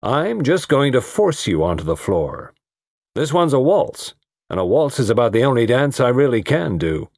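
A man voicing a commanding, jovial middle-aged guardian with a heavy, forceful delivery, in an audiobook story. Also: lowish voice, sing-song, measured pace, few pauses, husky, no echo, studio quality, heavily acted. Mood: insistent.

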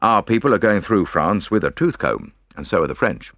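Cocksure police inspector as put on by a male reader: low-pitched, brisk, blunt, chatty, for a detective story. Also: big pitch swings, a bit bright, no room sound, clean studio sound, heavily acted. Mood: cheerful.

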